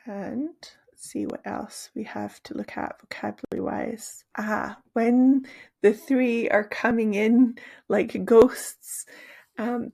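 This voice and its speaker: A woman teacher with a hoarse, gravelly voice walks through story vocabulary at a patient, explanatory pace. Her tone is husky and rough, sitting a little high for a woman. She pauses and restarts often as she explains. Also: darkish tone. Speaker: English teacher.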